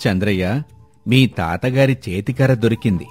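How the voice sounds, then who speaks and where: A man playing a steady, shrewd village headman with a measured, authoritative manner in a moral story. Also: fairly low pitch, soft background music, natural voice. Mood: reassuring. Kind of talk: acted dialogue in a moral story.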